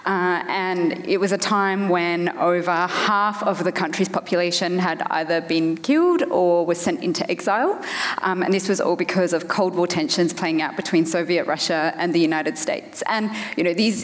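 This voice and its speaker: Panel guest, woman in her thirties, headset mic on a stage, measured and reflective, lowish voice. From Afghanistan. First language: Farsi. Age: 30s. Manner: thoughtful, many "um" pauses. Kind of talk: panel discussion. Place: large hall on stage. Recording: long hall reverb.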